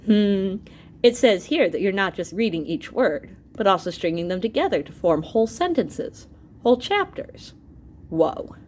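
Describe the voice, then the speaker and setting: Animated woman teacher reading aloud. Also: medium pitch, a little slow, slight background noise. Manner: animated, with asides and exclamations to listeners. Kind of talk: expressive children's educational read-aloud.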